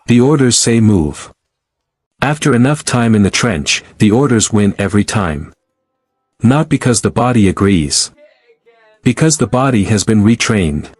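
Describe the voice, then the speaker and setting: A man narrating a war story in the second person, in a slow, grave, measured voice-over. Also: fairly low pitch, darkish tone, no echo.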